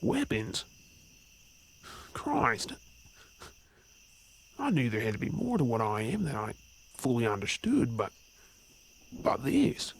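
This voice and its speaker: A man's voice: mid-range voice, huge pitch swings, takes it slowly, vocal fry, pauses often, full character voice, poor audio. A man playing an anxious, blunt young male werewolf in an audio drama, sarcastic and out of his depth. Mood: shocked, anxious. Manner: blunt, agitated, sarcastic, casual swearing. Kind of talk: audio roleplay acting. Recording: background noise with chirping crickets.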